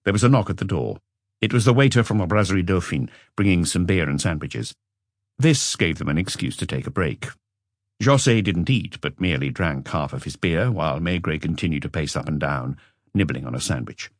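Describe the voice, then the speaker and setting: A man narrates a mystery audiobook from a script. His voice is extremely low, and the recording is close and dry with no room sound. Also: animated, brisk, no echo.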